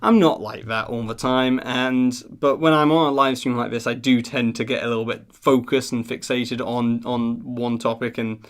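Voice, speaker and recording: A man's voice: medium pitch, steady tone, normal speed, hardly any pauses. A man hosting a live stream, chatting casually in an earnest, rambling, self-deprecating way. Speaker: live stream host of a theme park news channel.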